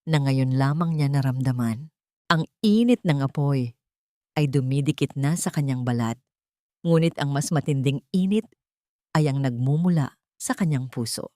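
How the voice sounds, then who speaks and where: A woman's voice: low voice, mellow, dry recording, studio quality. A woman with a Filipino accent reading scripted, dramatic narration for a romance drama story.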